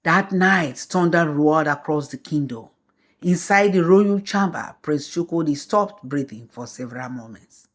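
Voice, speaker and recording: A woman telling a folktale as scripted storybook narration, in a slow, measured way. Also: low voice, animated.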